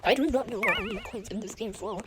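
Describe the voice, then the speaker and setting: A woman giving casual gameplay commentary, often repeating short phrases in quick bursts. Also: on the high side, huge pitch swings. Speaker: gamer commentating own play. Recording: noisy home recording with clicks.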